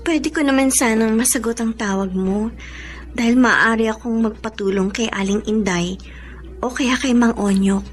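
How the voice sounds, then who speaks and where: A woman's voice: fairly high pitch, moderate pace, a little hiss or hum, close to an everyday voice. An actress playing a meek, hurt young Filipino woman in a radio drama, polite and plaintive. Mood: sad, resigned. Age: young adult.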